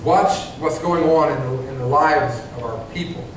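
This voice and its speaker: A man in his forties preaching a sermon as pastor, halting and full of restarts, often asking the congregation questions. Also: a little high, slight background noise. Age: 40s. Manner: halting, many restarts and stammered repeats; conversational, asks the congregation questions. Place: church sanctuary. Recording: very echoey church, voice from far off.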